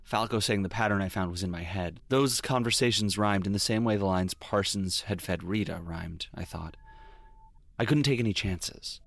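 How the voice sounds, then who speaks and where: A man's voice: deep voice, moderate pace, gravelly, fairly bright tone. An actor playing a wry, world-weary private detective narrating his case in an audio drama. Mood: wry, brooding. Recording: guitar music under the voice. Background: plucked guitar music.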